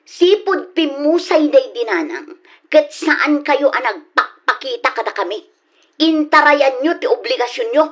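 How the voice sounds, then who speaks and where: A blunt grown daughter reproaches her father in an Ilocano accent, as a woman voices her in a radio drama. Her voice is high and clear. She presses each point with confrontational force. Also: expressive, measured pace, highs cut off. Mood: reproachful.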